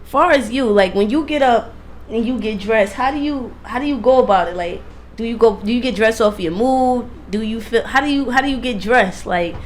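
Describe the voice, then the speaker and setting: A woman's voice: on the high side, normal speed. Casual woman radio host, noisy recording. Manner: informal, emphatic, repeats phrases for emphasis. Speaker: radio DJ and interviewer. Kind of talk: casual, slangy radio interview chat.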